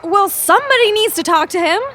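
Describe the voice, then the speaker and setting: Woman playing a high-voiced earnest schoolgirl. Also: lively intonation, fairly quick, pushed and bright, full character voice. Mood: earnest, concerned. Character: earnest, persistent teenage girl student journalist. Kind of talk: scripted audio drama acting.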